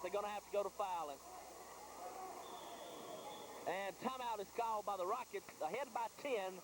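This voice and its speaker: A male sports announcer calls high school basketball. His voice sits high for a man, and he speaks in clipped, running phrases. The recording is an old, clearly noisy tape from a gym. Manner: clipped phrases, calling the action as it happens. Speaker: play-by-play radio sports announcer. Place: high school gymnasium during a game. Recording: noisy 1980s VHS tape of gym broadcast.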